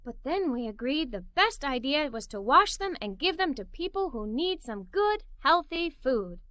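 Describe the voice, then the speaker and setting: High-pitched, animated woman storyteller. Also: normal speed, dry recording, studio quality. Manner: expressive, playful storytelling. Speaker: children's story narrator. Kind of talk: animated read-aloud story narration.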